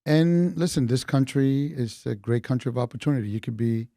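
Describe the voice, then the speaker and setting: Mellow New York-accented man in his fifties. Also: medium pitch, brisk, dry recording, clean studio sound. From Colombia. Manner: relaxed, chatty, laughs between points. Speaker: retired police officer, interview guest. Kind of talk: casual storytelling in an interview.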